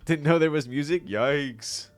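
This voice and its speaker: A man's voice: a little high, measured pace, soft background music. A man hosting a casual live stream, talking with viewers while he builds something. He sounds congested with a stuffy nose, and he is chatty and laughs often.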